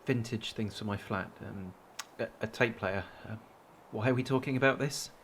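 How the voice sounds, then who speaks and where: A man's voice: fairly low pitch, normal speed, a bit bright, slight background noise, natural voice. An actor playing an anguished, guilt-ridden boyfriend in a radio drama, hesitant and stammering as he defends himself. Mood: awkward, uneasy. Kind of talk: naturalistic radio drama acting.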